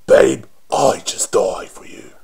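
A man voicing a cocky, flirty undead punk rocker monster for a game, with a raspy British accent and cheeky taunting slang. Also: fairly low pitch, sing-song, slow pace, darkish tone, in a whisper, heavily acted. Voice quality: raspy, husky. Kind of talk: video game character voice demo.

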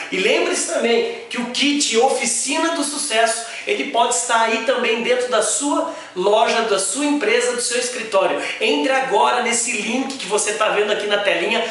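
A Brazilian man in his forties speaks like a motivational sales coach. He is emphatic and animated, drawing out key words. The sound is strongly echoey, picked up by a camera mic in a small room. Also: very high voice, slight background noise. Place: small indoor office with bare wall.

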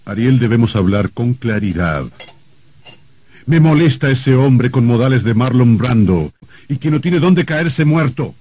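A flustered, suspicious husband in a radio drama. He speaks slowly and theatrically with wide swings of pitch, and tends to stammer. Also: animated, warm tone, breathy edge. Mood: jealous, indignant. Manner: theatrical, stammering when startled. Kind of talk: old radio drama acting.

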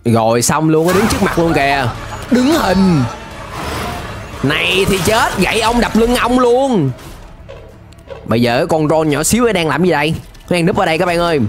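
Lively young man reacting excitedly. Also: sits high, moderate pace, long pauses, over music. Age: young adult. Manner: animated, exclamatory, slangy. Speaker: reaction video host. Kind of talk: excited reaction commentary on an animated episode. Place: small indoor room, webcam setup. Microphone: close desk microphone.

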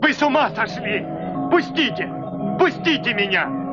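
An actor playing a pleading, agitated painter in a dubbed cartoon. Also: male voice, extremely high pitch, animated, unhurried. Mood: panicked, pleading. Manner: agitated, protesting. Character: panicky, pleading human painter held captive. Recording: muffled old film soundtrack with music.

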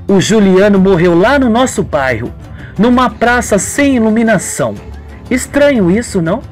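Woman as accusing young soap heroine: pointed, confrontational, animated. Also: medium pitch, moderate pace, faint music behind, pitched above the performer's own voice, close to an everyday voice. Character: accusing, suspicious young woman, former friend of villain.

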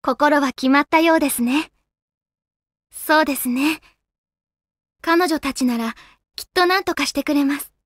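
A solemn, gentle guardian spirit of the land in a video game. Her voice sits high and she speaks with formal, composed courtesy. The recording is close and dry, with no room around it. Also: measured pace, pauses often, no room sound. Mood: serene, warm. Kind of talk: Japanese video game voice acting.

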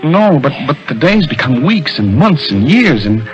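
An actor playing an earnest, lovestruck young lawyer in a 1930s radio drama, speaking haltingly with repeated words. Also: male voice, fairly high pitch, big pitch swings, lo-fi sound. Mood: troubled. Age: young adult. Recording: vintage 1930s radio broadcast with music.

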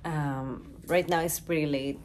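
A young woman chatting to her phone camera in a hotel room, gushing and tired, her voice trailing off softly at times. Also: sits low, fairly even intonation, unhurried. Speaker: vlogger. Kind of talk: casual travel vlog. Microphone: phone at arm's length. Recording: noisy phone recording.

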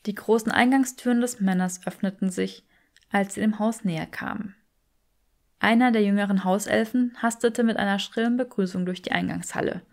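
A woman's voice: mid-range voice, normal speed, gravelly. A woman reading fiction as an audiobook narrator in scripted narration.

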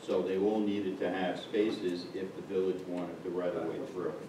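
A man's voice: sits low, level tone, moderate pace. A man on a village board, insistent and rambling as he argues a point at a public meeting. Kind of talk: municipal meeting discussion. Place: meeting room, heard from afar. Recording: echoey room, distant mic, noisy.

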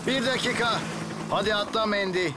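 An actor playing a heroic, friendly younger firefighter in a children's cartoon dub, polite and assured. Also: male voice, high voice, moderate pace, heavy background noise, lightly acted. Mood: brisk.

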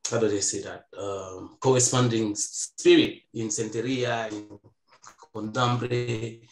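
A man in his thirties on a video call from home, speaking slowly and reflectively with frequent pauses. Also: fairly low pitch, fairly even intonation, reverberant, soft background music, low-quality recording. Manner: slow and reflective, hesitant fillers. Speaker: panelist. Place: home room. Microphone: earbuds on video call.

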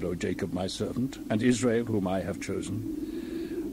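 A man with a mid-pitched voice performs a dramatized scripture reading. His delivery is solemn and declamatory, measured, with emphatic swells. Clear background ambience with birdsong sits behind him. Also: background noise. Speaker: Bible reader.

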